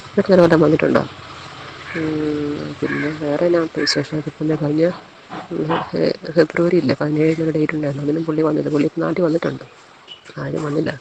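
Woman w central kerala accent chatting on phone, creaky and flat, bit noisy recording. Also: low-pitched, lo-fi sound. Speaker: caller talking to a friend. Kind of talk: casual phone conversation.

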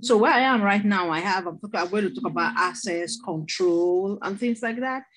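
A doctoral student introducing herself in an online class, chatty and laughing, with restarts. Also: female voice, medium pitch, moderate pace. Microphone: video call.

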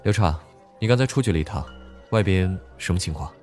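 A man's voice: low-pitched, lively intonation, a little fast, a little mellow, soft background music. An actor playing a level-headed, studious male student in an audio drama, speaking in a reasoned, matter-of-fact way. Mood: concerned.

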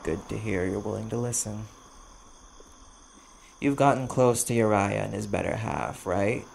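Man acting a low, very gravelly vampire bounty hunter. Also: background noise. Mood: dry, bargaining. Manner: measured, dry, pointed pauses. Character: cautious, sardonic male vampire bounty hunter, cool and bargaining. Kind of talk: audio roleplay, slow dramatic monologue to the listener.

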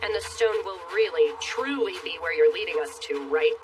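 A woman's voice: fairly low pitch, expressive, normal speed, distant mic, natural voice. A woman playing a proud, hot-tempered demon queen with haughty, theatrical royal diction in an anime dub. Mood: suspicious. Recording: dramatic music behind the voice.

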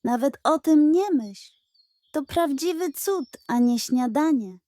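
A woman voicing a cunning, gossipy, excitable male cat in a children's storybook, hissing and urgent. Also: high-pitched, slow pace, no echo, voice pushed up. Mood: indignant.

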